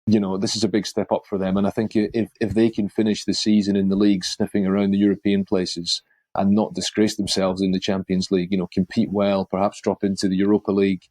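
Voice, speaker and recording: A man speaking in a measured, considered way as a football pundit on a podcast panel. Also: sits low, level tone, a little mellow, no room sound.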